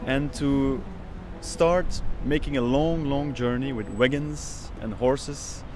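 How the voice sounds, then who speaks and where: A man's voice: medium pitch, slow pace, background noise. A man in his thirties whose first language is Dutch, speaking thoughtfully in a documentary interview and searching for words as he goes. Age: 30s. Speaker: oud player. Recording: music playing behind.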